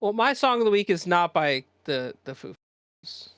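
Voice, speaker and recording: A man with a voice higher than most men's, co-hosting a casual podcast, laughing often and swearing a lot. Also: big pitch swings, moderate pace, a bit bright, clear, resonant tone, dry recording, studio quality. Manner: giggly, profane, rambling. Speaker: podcast co-host. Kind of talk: casual chat.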